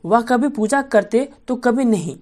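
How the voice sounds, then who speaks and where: A woman's voice: a little high, expressive, measured pace, darkish tone. A woman storyteller narrating a bedtime folk tale for children.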